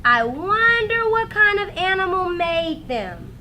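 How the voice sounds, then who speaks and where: Woman as eager storybook kid brother, very high, exclaiming and wailing, big swoops. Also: big pitch swings, slow pace, sharp tone, a little hiss or hum, full character voice. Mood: curious. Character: excitable little boy, younger brother, eager and dramatic.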